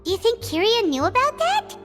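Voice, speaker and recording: A woman voicing a tiny childlike companion girl in a video game, squeaky, excitable and eager. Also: a little fast, in a large hall, music in the background. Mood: curious. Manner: speaks of herself in third person, excitable. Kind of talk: scripted video game cartoon-like dialogue.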